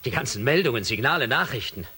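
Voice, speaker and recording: An actor playing a defiant, self-justifying spaceship commander in a science fiction radio play, emphatic and commanding. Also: male voice, medium pitch, lively intonation, fast talker, sharp tone, husky, exaggerated voice. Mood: shocked.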